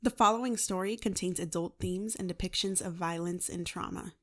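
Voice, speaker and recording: A woman's voice: fairly low pitch, fairly quick, fairly bright tone. A woman announcer reading a scripted advisory to listeners.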